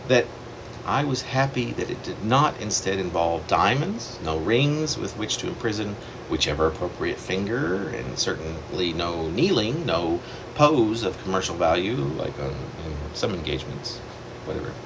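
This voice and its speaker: A man reading his own long poem aloud in a wandering, self-correcting way, with sudden leaps in pitch. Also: sing-song, normal speed, creaky, noisy background. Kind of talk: poetry reading.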